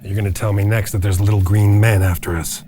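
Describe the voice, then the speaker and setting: A man playing a gruff, sceptical, terse member of a colonial survey team in a tabletop roleplay. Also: on the low side, little pitch movement, a little fast, background noise, lightly acted. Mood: sceptical, grudging.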